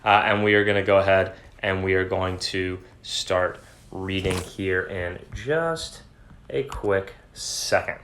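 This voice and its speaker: Bright-voiced man teaching and reading aloud. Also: low-pitched, takes it slowly, slight background noise. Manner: explanatory, breaks off reading to paraphrase in plain words. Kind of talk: informal lesson talk and dramatic read-aloud of a short story.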